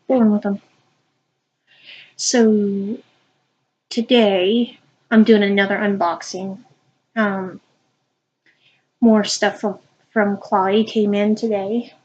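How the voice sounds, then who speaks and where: Young woman, stuffy and sniffling, slow halting vlog chat, slightly dull lo-fi audio. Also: mid-range voice, darkish tone. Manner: halting, with many ums and restarts. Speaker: vlogger. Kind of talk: casual unboxing vlog.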